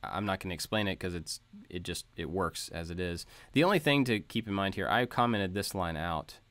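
A man's voice: deep voice, a little fast, fairly bright tone, dry recording. A man presenting a casual walkthrough in an informal, explanatory way, going step by step as a voice-over. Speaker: tutorial presenter. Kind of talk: casual screen-share code walkthrough.

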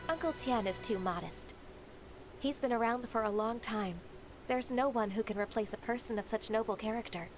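A courteous, reserved young woman, as an actress plays her in a video game, her fairly high voice measured and soft-spoken. She chooses formal words carefully, with music running beneath her lines. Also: close to an everyday voice. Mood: calm. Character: polite, dutiful young secretary woman, reserved. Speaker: game character. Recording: game dialogue over music.

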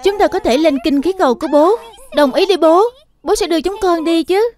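A performer voicing a cute, excitable young animal cub in a dubbed children's cartoon, speaking in an eager, childlike way. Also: very high voice, a little fast. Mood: eager pleading.

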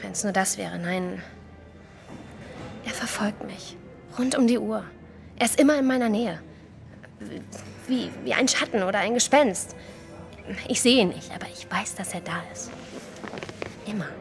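A young woman in an audio drama, frightened and indignant. Her delivery is agitated, with hesitant stammers and emphatic phrases, at a medium pitch. Music plays softly underneath. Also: a little slow, gravelly, pauses often. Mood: anxious. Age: young adult.

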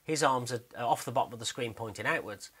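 A man's voice: medium pitch, quick pace, a bit bright, slightly rough. A man narrating a screen-recorded walkthrough as an animator, casual, rambling and a little self-deprecating in how he explains things.

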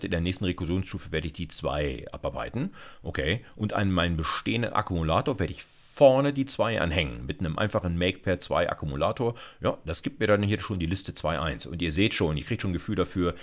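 A man's voice: sits low, expressive, a little fast. A middle-aged man giving an informal programming lecture, explaining step by step and addressing listeners directly in a conversational way. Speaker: university lecturer. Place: indoor recording setup, screencast lecture.

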